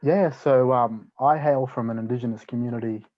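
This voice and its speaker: Aussie guy from north queensland, laid back, lots of um and you know, mid pitch, kinda muffled stream audio. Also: moderate pace. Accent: Australian. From North Queensland, Australia. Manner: relaxed, many fillers like "you know" and "um". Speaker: guest, property developer. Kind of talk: live webinar conversation.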